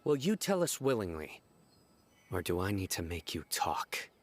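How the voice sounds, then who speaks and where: An actor playing a stern, calm male officer in a video game, speaking in a measured, quietly threatening way. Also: deep voice.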